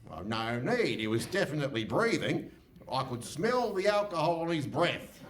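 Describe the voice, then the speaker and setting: An actor playing a dim, eager, literal-minded police constable in a comic radio play performed on stage. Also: male voice, a little high, sing-song, moderate pace, distant mic. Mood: earnest, literal. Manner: bumbling, deferential, literal. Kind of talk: comic live radio theatre. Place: hall stage before live audience.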